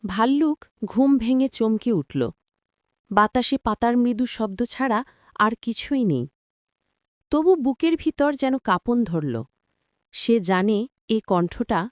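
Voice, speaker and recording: A woman narrates a cartoon tale with dramatic timing. Her voice sits fairly high and moves expressively, at a very unhurried pace. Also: expressive, a little mellow, no echo, studio quality. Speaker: story narrator. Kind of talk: scripted cartoon storytelling.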